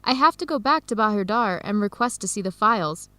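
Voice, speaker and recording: An actress playing a hopeful, sincere young woman in an educational radio drama, phrasing her words deliberately. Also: medium pitch, lively intonation, bright, forceful tone, dry recording. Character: hopeful young woman adoptee searching for her birth family.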